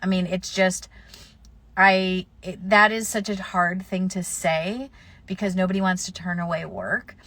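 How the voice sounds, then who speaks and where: A woman's voice: lowish voice, steady tone, unhurried, fairly bright tone. A woman florist with a slight cold answering viewer questions in a live stream, offering practical advice in a conversational way with plenty of fillers.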